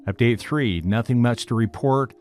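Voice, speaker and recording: A man narrating a written online story in a steady, even reading style. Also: mid-range voice, normal speed. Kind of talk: scripted narration of a reddit post. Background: faint background music.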